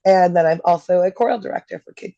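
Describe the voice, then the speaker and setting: A woman in her thirties, hoarse while getting over an illness, chatting easily as a guest getting a tarot reading. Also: fairly low pitch, quick pace, warm tone, no room sound. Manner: chatty, easygoing, laughs readily. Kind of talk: casual chat. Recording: dull lo-fi dry sound.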